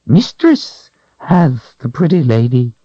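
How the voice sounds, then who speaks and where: A man's voice: big pitch swings, slow, dark tone, no room sound, dull audio. A man voicing a cringing, hairless creature that whines, hisses and pleads in broken speech, in a storybook reading. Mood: whining. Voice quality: shrill whining, broken speech. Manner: whimpering, pleading, hissing. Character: cringing hairless creature, sly, whiny, grasping.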